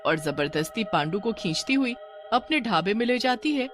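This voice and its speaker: A woman's voice: a little high, expressive, moderate pace, soft background music. A woman narrator reading a scripted horror tale for a cartoon.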